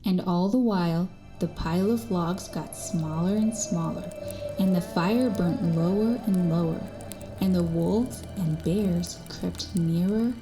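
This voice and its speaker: Woman playing a cheeky, slow-paced young storyteller boy. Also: lowish voice, close to an everyday voice, low-quality recording. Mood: ominous, teasing. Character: cheeky eight-year-old boy captive spinning tall tales. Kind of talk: audio drama storytelling. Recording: music bed behind the voice.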